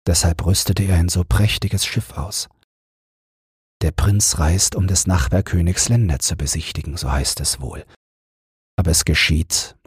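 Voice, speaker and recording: A man narrating a bedtime fairy tale audiobook in a calm, soothing, measured manner. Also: deep voice, husky, no room sound, clean studio sound.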